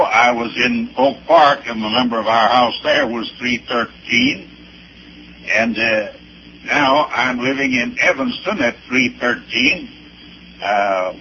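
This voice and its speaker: An elderly American man, a pastor giving personal testimony in a deliberate, halting, emphatic way with a creaky voice. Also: medium pitch. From Arkansas, United States. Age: 70s or older. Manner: deliberate, halting, with emphatic spelled-out dates and places. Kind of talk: personal testimony with anecdotes, preaching delivery. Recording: dull old recording with faint noise.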